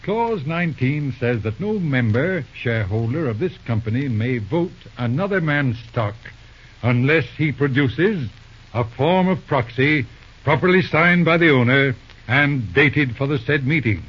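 An actor playing a shrewd, formal judge and lawyer, speaking deliberately and politely but pointedly in an old-time radio drama. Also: male voice, medium pitch, lively intonation, husky. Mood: dryly triumphant. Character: shrewd, courteous lawyer judge, calmly cornering his opponent. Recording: muffled old radio recording.

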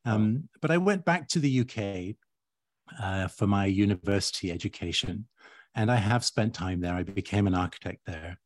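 A middle-aged man who grew up in East Africa and whose first language is Swahili, speaking in a reflective, measured way as a guest on a podcast interview. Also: on the low side, no room sound. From grew up in Uganda and Kenya. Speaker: guest architect.

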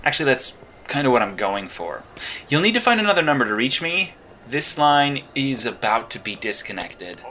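A man's voice: medium pitch, sing-song, moderate pace, sharp tone, slight background noise. An actor playing a dry, wisecracking male vigilante detective who dictates case logs. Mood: wry. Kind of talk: audio drama acting.

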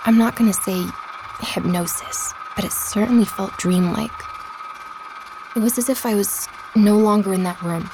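An actress playing a podcast host, narrating in a calm, reflective way for an audio drama. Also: female voice, fairly low pitch, noisy background, lightly acted. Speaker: podcast narrator and investigator Emma.

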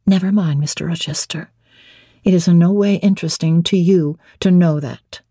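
Spirited young heroine of classic storybook, acted by a woman reader: mellow, husky, forthright, playfully defiant. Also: fairly low pitch, normal speed, dry recording, studio quality. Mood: probing. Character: spirited, frank young woman, teasing bride-to-be. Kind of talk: dramatic classic novel reading.

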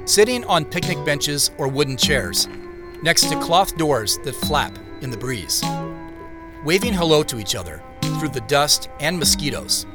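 An actor playing a wistful, imaginative man who narrates an audio drama in a measured, descriptive storytelling manner. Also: on the high side, big pitch swings. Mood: wistful, reflective. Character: wistful, imaginative male narrator picturing the past. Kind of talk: reflective first-person audio drama narration. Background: music.

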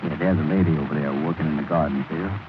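A man's voice: rumbling low, fast talker. An actor playing a grumbling, blustery man with folksy, ungrammatical dialect, in 1930s radio comedy style. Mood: reluctant. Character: grumbling, blustery cab rider, wary of spending money. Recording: crackly 1930s radio broadcast, narrow band.

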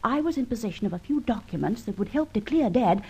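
A woman's voice: fairly high pitch, animated, fast, darkish tone, breathy edge, heavily acted. A woman acting a plucky, composed young heroine who speaks forthrightly in an old radio drama. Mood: confiding. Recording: muffled vintage radio recording.

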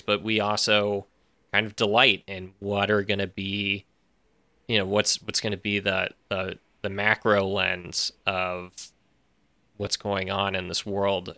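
A man's voice: deep voice, bright, forceful tone, no room sound. A man speaking as a podcast guest in casual chat, thoughtful, often restarting his phrases. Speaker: podcast guest, audio fiction creator.

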